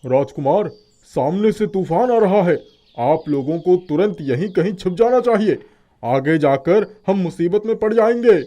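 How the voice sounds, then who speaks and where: A loyal talking horse played by a man, high in pitch with a soft, dark tone. It speaks formally and urgently, like an alert servant in an animated storybook. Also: measured pace. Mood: alarmed.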